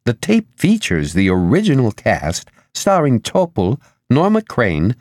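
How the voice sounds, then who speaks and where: Man voicing an officious spaceship operator, lively pitch. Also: measured pace, dry recording, studio quality. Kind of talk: audiobook character dialogue.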